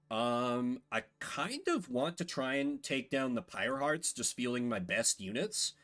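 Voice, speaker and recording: A man's voice: medium pitch, fairly bright tone. A man giving casual game commentary as a streamer, thinking aloud in an informal way. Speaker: streamer playing and voicing a game.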